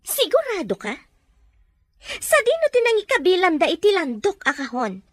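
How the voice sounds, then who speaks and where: Woman acting as high-voiced, harsh domineering madam. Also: wildly swooping pitch, moderate pace, no echo, clean studio sound, heavily acted. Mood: suspicious, taunting. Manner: commanding, sharp, scornful. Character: harsh, domineering madam interrogating and threatening her captive. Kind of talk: Ilocano audio drama acting.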